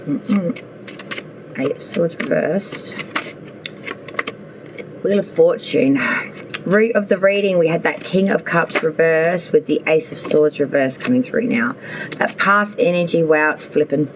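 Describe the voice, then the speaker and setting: Woman reading tarot, deep croaky voice, talks to herself, swears a bit. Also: takes it slowly, pauses often, noisy background. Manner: chatty, thinks aloud while drawing cards, swears offhand. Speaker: tarot reader.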